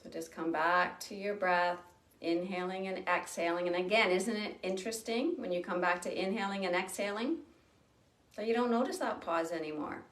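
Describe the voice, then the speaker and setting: A woman with a fairly low voice leads a guided breathing practice. She talks slowly and gently, leaving long pauses between phrases. She is recorded from a little away from the mic, in a room that echoes. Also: recorded from a distance. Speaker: meditation teacher. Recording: roomy sound from off the mic.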